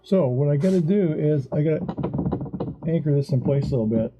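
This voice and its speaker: Dark-voiced man talking through a repair. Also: a little high, animated, fairly quick, dull audio, slight background noise. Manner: thinking aloud while working. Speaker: boat owner doing a repair. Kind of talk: casual DIY narration. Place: on a small boat.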